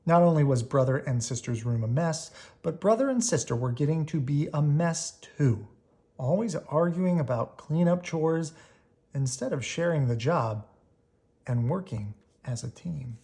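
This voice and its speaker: A storybook reader giving an expressive children's read-aloud, leaning on key words with drawn-out emphasis. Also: medium pitch.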